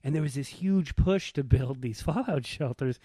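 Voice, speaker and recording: A man's voice: mid-range voice, normal speed, mellow, slightly rough, dry recording, studio quality. A man co-hosting a podcast with casual banter, talking in a blunt, informal way. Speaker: podcast co-host.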